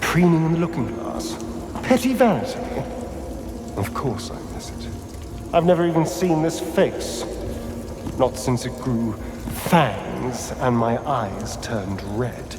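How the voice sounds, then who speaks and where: A man's voice: medium pitch, animated, slow, reverberant, in a large hall, music in the background. A man playing a vain, wry aristocratic vampire in a video game, theatrical, arch and wistful.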